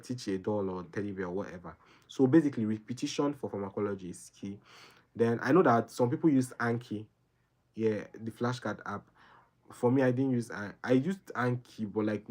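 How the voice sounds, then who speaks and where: Young nigerian guy on a livestream, lowish voice, earnest and rambly, keeps saying right?. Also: darkish tone. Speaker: livestream host answering viewer questions. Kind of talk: casual live Q&A advice.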